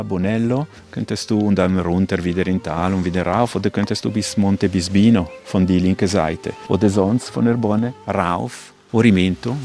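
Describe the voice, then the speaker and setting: A middle-aged man with a Swiss-Italian accent giving informal interview answers in halting, non-native phrasing. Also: low voice, a little mellow. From Ticino, Italian-speaking Switzerland. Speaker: local hospitality host being interviewed.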